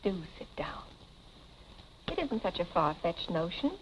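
An actress playing a cool, sardonic young wealthy wife, in dry, arch 1940s Hollywood film style. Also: female voice, on the low side, sing-song, measured pace, a little hiss or hum, low-quality recording. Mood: coolly accusing. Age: young adult. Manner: arch, dry, clipped irony. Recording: old film soundtrack, music behind.

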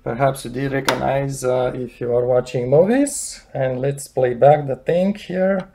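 A man with a creaky, gravelly voice at a middling pitch talks through a hands-on demonstration. He is casual and unscripted, pausing often and hunting for the right word. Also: steady tone, mellow. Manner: halting, hesitant, searching for words. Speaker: hobbyist demonstrator. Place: indoors at home beside hi-fi equipment.

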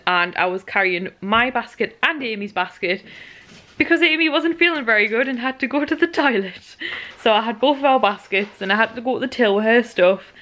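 A woman's voice: mid-range voice, a bit bright. Chatty young woman vlogging to camera. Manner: chatty, rambling, easily tired. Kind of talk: casual chat to camera. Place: car, then bedroom at night, then kitchen. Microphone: camera held at arm's length.

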